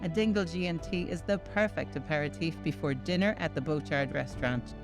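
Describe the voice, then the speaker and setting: An Irish woman hosting a travel show, giving bright, enthusiastic scripted narration. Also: deep voice, fairly quick. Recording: voice-over with music behind.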